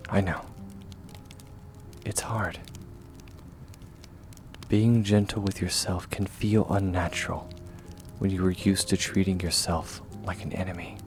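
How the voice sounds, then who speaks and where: A man playing a gentle, steadfast young swordsman mentor in a comfort ASMR roleplay, speaking softly and reassuringly, at times close to a whisper. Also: sits low, flat delivery, music in the background. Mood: gentle, encouraging. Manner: soft, reassuring, measured, often near whisper.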